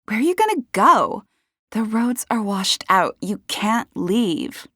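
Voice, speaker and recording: A woman's voice: mid-range voice, sing-song, slow, fairly bright tone, no echo, studio quality, pitched above the performer's own voice, heavily acted. Lady narrator as a snarky cynical woman, mocking, couldnt care less, mystery audiobook. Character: sardonic, cynical, unbothered woman.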